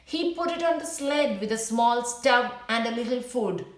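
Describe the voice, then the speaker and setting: A woman reading a children's storybook aloud in a simple, deliberate way, as if to young listeners. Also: a little high, lively intonation, echoey room. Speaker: children's book read-aloud narrator. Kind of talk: storybook read-aloud with brief asides to viewers.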